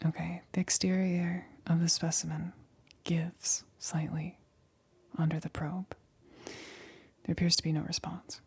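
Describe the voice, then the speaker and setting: A nervous young-sounding lab assistant acted by a woman whispers through an ASMR roleplay. The voice is very breathy and stays in a narrow, even range. The delivery is halting and careful, anxiously reassuring while keeping to a clinical method. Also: fairly low pitch, heavily acted. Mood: cautious, clinical. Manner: hesitant, halting, repeats reassurances.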